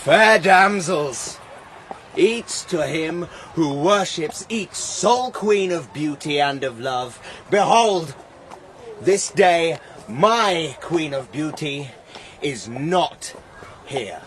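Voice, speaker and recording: A man's voice: fairly high pitch, lively intonation, extremely slow, a bit bright, voice pushed up, heavily acted. A man voicing a proud, blunt, scornful knight in archaic, declamatory verse storytelling. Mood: mocking, proud.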